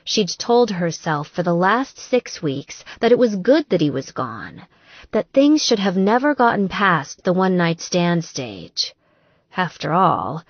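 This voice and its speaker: A woman reads romance fiction as an audiobook narrator. Her voice sits a little low and she takes her time, giving the lines feeling. The sound is slightly muffled. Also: no echo. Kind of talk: scripted romance novel narration with acted dialogue.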